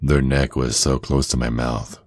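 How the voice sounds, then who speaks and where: A man playing a menacing, possessive vampire neighbor in an intimate ASMR roleplay, speaking in a slow, teasing, seductive way straight to the listener. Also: rumbling low, level tone, a little mellow, no echo, clean studio sound. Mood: taunting, menacing. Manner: slow, teasing, deliberate, with breathy drops toward a whisper.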